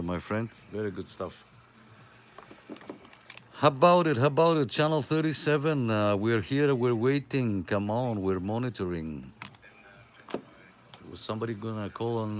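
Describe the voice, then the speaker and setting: A man's voice: fairly low pitch, moderate pace, a little hiss or hum. Greek-accented man hosting a radio chat. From Greece. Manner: chatty, friendly, hesitant with many uh fillers. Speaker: CB radio net host. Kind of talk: casual on-air radio chat.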